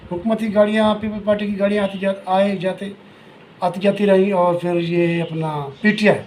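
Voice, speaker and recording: A man with a Pakistani accent giving informal political analysis to viewers as a commentator, in a halting delivery that often repeats phrases. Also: high-pitched, a little mellow, a little hiss or hum.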